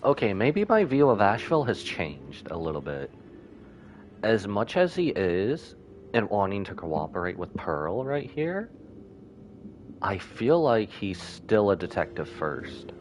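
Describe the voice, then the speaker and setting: A man streaming a game, giving casual, expressive live reactions in slangy speech. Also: fairly low pitch, measured pace, a little hiss or hum.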